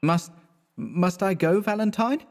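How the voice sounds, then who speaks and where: A man's voice: high voice, unhurried, darkish tone, pitched above the performer's own voice. A man voicing a breezy, flippant young male art student who is easily flustered, in an audiobook. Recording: echoey hall-like reverb.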